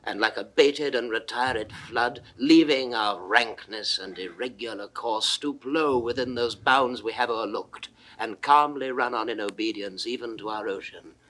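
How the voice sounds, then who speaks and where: An actor playing a grave English rebel nobleman, declaiming in measured classical Shakespearean style. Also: on the low side, sharp tone, exaggerated voice, rough recording. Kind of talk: 1960s classical Shakespeare audio drama acting.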